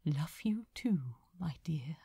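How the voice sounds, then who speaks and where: A woman voicing a gentle, fond male angel in a soft, hesitant audiobook performance. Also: low voice, animated, slow pace, gravelly, husky, no room sound, studio quality, voice pushed down, full character voice. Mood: tender. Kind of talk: audiobook character acting.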